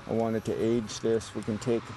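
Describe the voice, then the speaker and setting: A man's voice: lowish voice, monotone, moderate pace. A man giving a hands-on explanation in the field, recorded outdoors. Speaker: search and rescue tracker. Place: outdoors in the woods. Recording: faint outdoor background noise.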